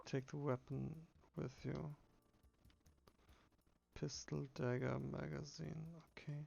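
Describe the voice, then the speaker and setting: A man's voice: medium pitch, flat delivery, slow, creaky, darkish tone, highs cut off, slight background noise. A man casually playing through a game, reading its text aloud and muttering his own asides. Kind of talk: casual game playthrough with read-aloud dialogue.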